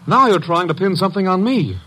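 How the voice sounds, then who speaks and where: A man playing a defensive, snapping murderer in an old radio drama, with a husky voice. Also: high voice, lively intonation, fairly quick, sharp tone, slight background noise. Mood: defensive, flustered. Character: cornered, defensive male murderer turning menacing. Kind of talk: 1950s radio drama acting.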